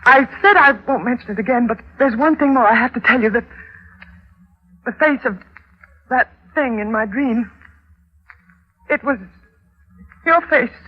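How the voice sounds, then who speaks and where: An actress playing a frightened, anxious young wife in halting, pleading 1940s radio drama style. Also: female voice, a little high, slightly rough. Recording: old muffled radio recording, music behind.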